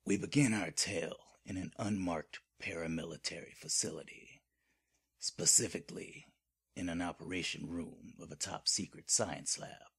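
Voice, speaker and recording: Male narrator for horror audio drama: very low, gravel-rough husky voice, ominous and theatrical. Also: fairly bright tone. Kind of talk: scripted horror story narration.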